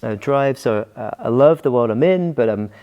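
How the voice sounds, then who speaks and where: A man's voice: medium pitch, expressive, normal speed, warm tone, smooth. A middle-aged Scottish man, a pastor guesting on a podcast, talking casually with many hesitations and restarts. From Scotland. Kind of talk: casual interview talk.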